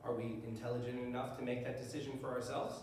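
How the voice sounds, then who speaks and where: A man on stage playing a principled defense attorney with a measured, rhetorical courtroom delivery. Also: mid-range voice, little pitch movement, darkish tone, close to an everyday voice. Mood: persuasive. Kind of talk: live stage readers theater. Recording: distant mic, echoey room, faint noise.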